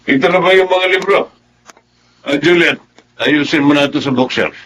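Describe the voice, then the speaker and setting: A man's voice: fairly high pitch, moderate pace, a bit bright, natural voice. A man playing a domineering, strict middle-aged father with a Filipino accent, commanding in radio drama style. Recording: distant, noisy with background ambience.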